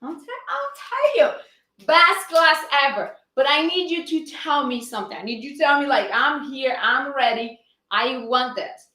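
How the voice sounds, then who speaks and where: A woman's voice: sits high, very animated, a little slow, pushed and bright, reverberant. Upbeat Brazilian woman fitness coach. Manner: upbeat, chatty, greets viewers by name. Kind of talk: live-streamed fitness class.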